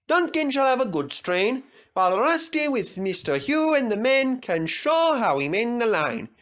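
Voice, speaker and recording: A man voicing a grave, kindly railway manager in a storybook reading, formal and measured. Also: extremely high pitch, sing-song, a bit bright, voice pushed up, exaggerated voice.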